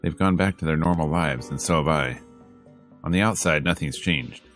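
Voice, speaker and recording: Very deep-voiced man reading over music. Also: normal speed, a bit bright. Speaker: streamer reading a visual novel aloud. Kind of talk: dramatic read-aloud with joking asides. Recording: music behind the voice. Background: game music.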